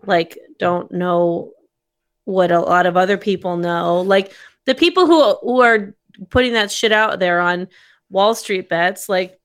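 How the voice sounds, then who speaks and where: A woman chatting casually on a podcast, frank and animated, with a fair bit of swearing. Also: mid-range voice, unhurried. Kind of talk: casual chat.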